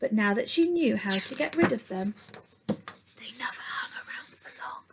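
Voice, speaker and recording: Woman, high-pitched, husky with some creak, expressive storytime read-aloud, teacherly, addressing young children. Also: normal speed, whispered. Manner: expressive, addresses young listeners with questions, drops to hushed tones. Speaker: teacher reading a picture book to pupils.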